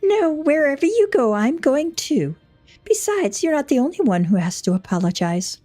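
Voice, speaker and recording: A woman's voice: high-pitched, big pitch swings, normal speed, mellow, no room sound, soft background music, pitched above the performer's own voice. A woman voicing a devoted, determined wife in a video game, tender and insistent.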